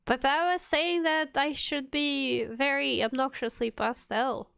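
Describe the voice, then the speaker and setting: A woman's voice: high-pitched, steady tone, a little slow, vocal fry, a bit bright, no echo, highs cut off. A woman livestreamer chatting casually with viewers in a playful, mock-indignant, giggly manner. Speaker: streamer, VTuber.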